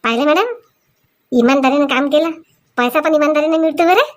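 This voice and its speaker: A woman voicing excitable, quarrelsome village women in a cartoon comedy, with a rural Vidarbha Marathi accent and a scolding, exclamatory manner. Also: high voice, a little fast, close to an everyday voice. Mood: arguing. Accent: Marathi, Vidarbha dialect.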